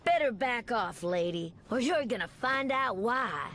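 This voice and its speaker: An actress playing a sassy, confident Southern American mutant superheroine, trading folksy, drawling banter in a video game. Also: female voice, on the high side, animated, a little slow, a bit bright. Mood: warning. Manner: drawling folksy banter, calls people sugar. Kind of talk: video game character dialogue.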